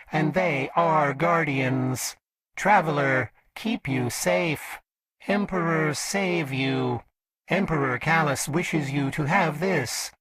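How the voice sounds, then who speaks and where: An actor playing a courteous robot servant of an exiled emperor in a video game, polite, formal and servile. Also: male voice, fairly high pitch, low-quality recording. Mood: formal, devout. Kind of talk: scripted game character lines.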